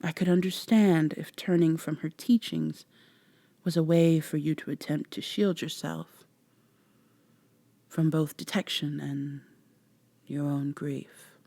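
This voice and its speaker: Haughty, teasing vampire woman acted by a woman, ASMR drama; lowish, close and dry, measured formal speech. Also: fairly even intonation, mellow, no room sound, heavily acted. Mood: grave concern. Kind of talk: soft-spoken ASMR roleplay acting.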